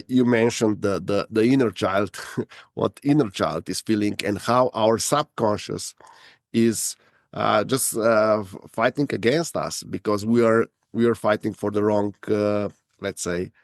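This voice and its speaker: A man with a fairly low voice hosts a podcast interview. He stops and repeats himself a lot while he asks his questions. The sound is dry and close-miked. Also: fairly even intonation, measured pace, dry recording. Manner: hesitant, many fillers and repeated words. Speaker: podcast host.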